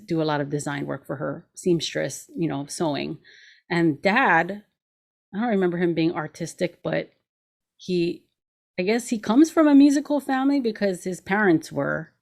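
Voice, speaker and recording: A woman's voice: on the low side, moderate pace, vocal fry. A woman in her forties hosting a show, chatting casually in an informal, giggly way. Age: 40s. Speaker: show host. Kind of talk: casual conversation.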